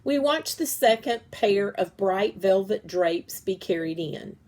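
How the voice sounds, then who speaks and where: A woman reading a children's story aloud in a measured, deliberate storytelling way. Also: medium pitch, lively intonation, a little mellow. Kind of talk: read-aloud story narration.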